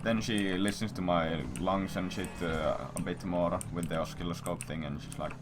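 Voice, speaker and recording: Very low-voiced man telling crude stories, noisy stream. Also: steady tone, moderate pace. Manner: crude, swearing, rambling. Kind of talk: casual storytelling to stream viewers. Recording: noisy stream audio, keyboard sounds.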